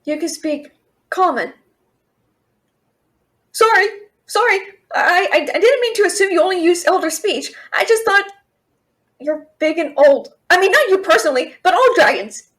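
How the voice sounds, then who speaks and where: A woman's voice: very high-pitched, lively intonation, fairly bright tone. A young woman playing a cheeky, frightened thief who stammers and bluffs in a fantasy audio roleplay. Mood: flustered, placating. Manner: nervous, stammering, fast-talking bluffer. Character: cheeky, scared young female thief, talking her way out.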